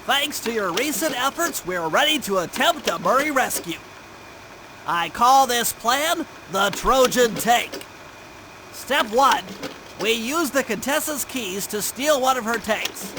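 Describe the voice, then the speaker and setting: A man's voice: extremely high pitch, expressive, a little slow, noisy background. A man voicing a nerdy young turtle tech genius in a video game, with a thin nasal voice and a precise, wordy, anxious manner. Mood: explaining plan. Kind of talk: video game cartoon voice acting. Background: music.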